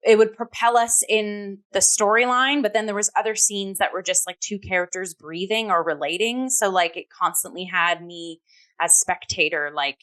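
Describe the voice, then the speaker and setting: A woman's voice: mid-range voice, fairly even intonation, normal speed, fairly bright tone. A woman co-hosting a theatre review show, talking casually and enthusiastically and peppering her speech with "like".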